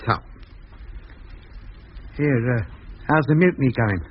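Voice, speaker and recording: An actor playing a cheeky, down-to-earth spaceship radio operator in a 1950s radio drama, colloquial and a little hesitant. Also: male voice, medium pitch, animated, slow pace, husky. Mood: wry, busy. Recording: dull, muffled 1950s radio recording.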